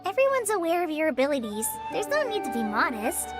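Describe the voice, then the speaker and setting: A woman's voice: high voice, very animated, a little fast. A woman voicing a kindly, encouraging character in a video game. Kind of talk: video game dialogue acting. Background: loud game background music.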